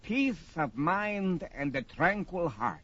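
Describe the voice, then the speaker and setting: An aged sorcerer, speaks high and slowly with theatrical emphasis. He is indignant and boastful, swinging widely in pitch as in a vintage fantasy record drama. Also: very animated, a bit bright, full character voice. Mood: boastful, crafty. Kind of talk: 1970s audio drama record acting. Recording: dull vintage story record.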